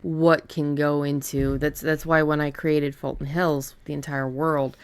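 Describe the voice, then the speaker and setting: A woman with a notably low voice chats casually as a podcast co-host and writer. She talks in a halting way, working out her thoughts aloud. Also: level tone, clear, resonant tone. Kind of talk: casual chat about writing.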